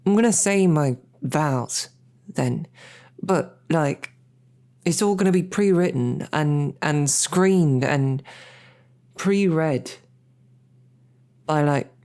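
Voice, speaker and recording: A woman playing a bashful, smitten knight and royal guard in an intimate audio roleplay, speaking haltingly with flustered, self-deprecating rambling. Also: deep voice, mellow. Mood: flustered, earnest.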